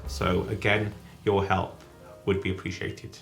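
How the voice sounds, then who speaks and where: A man planning a trip on camera, chatting in a halting way that restarts its sentences. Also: deep voice, level tone, vocal fry, soft background music. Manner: chatty, halting, self-correcting. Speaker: travel vlogger. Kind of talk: casual chat.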